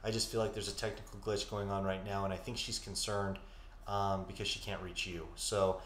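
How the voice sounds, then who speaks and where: A man with a fairly low voice talks through psychic impressions, pausing often with fillers before reassuring his listeners. The sound is roomy and echoey, with a faint steady noise underneath. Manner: hesitant, filler-heavy, reassuring. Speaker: psychic medium podcast co-host. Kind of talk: live-stream psychic readings and chat. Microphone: studio mic.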